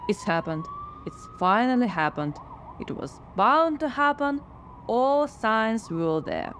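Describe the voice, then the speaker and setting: A woman's voice: fairly high pitch, very animated, slow pace, a bit bright, slight background noise, exaggerated voice. A woman playing a skeptical, frazzled scriptwriter in a radio drama, dry and sardonic.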